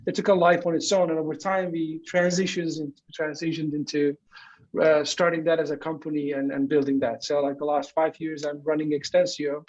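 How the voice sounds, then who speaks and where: A man's voice: on the high side, highs cut off. A man from Turkey in his forties, a guest answering interview questions in a loose, rambling way with lots of filler words. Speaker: guest, entrepreneur.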